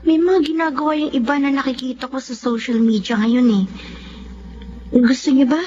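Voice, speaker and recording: Actress as high-voiced, devoted Filipino daughter. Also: female voice, steady tone, normal speed, close to an everyday voice. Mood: determined, pleading. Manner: gentle, coaxing, earnest. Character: devoted, tender young daughter caring for sick father. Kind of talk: Tagalog radio drama acting. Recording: muffled radio drama audio, faint music.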